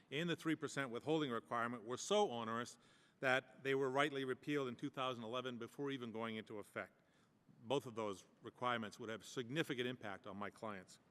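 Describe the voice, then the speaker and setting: A man's voice: medium pitch, a bit bright, long reverb tail. A man who prepares taxes for small businesses, reading prepared testimony before a committee, earnest and emphatic, with brief asides. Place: large hearing room.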